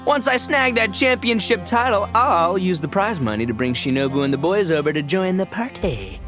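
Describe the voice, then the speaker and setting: A brash anime game oni with a swaggering, goofy streak. His pitch leaps around as he boasts in loose slang. Music plays clearly behind him. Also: expressive, moderate pace. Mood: sheepish, upbeat. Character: boisterous, boastful male oni gang boss, big and goofy. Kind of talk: anime game character acting.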